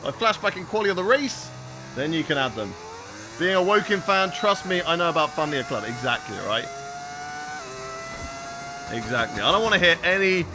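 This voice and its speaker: A man's voice: on the high side, noisy background. A young man casually chatting with viewers while he plays a racing game on a live stream.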